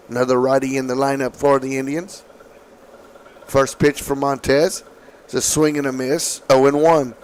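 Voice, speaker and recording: A man calling softball play-by-play, his voice slightly raspy from allergies, with calm, measured calls. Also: medium pitch, low-quality recording. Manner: calm, measured calls, excited on big hits. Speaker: play-by-play announcer.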